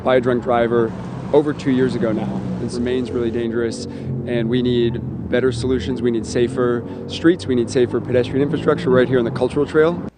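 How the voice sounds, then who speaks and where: Even-toned man over street noise. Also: medium pitch, brisk. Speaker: safety advocate interviewee. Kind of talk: earnest interview talk. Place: outdoors beside a street. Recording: outdoor street with clear traffic noise.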